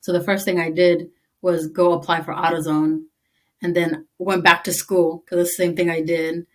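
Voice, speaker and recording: Female interview guest from East Africa, Tigrinya native, lower-pitched, quick and chatty. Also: flat delivery. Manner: chatty, quick, lots of "like", laughs easily. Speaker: interview guest, army veteran. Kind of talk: conversational interview.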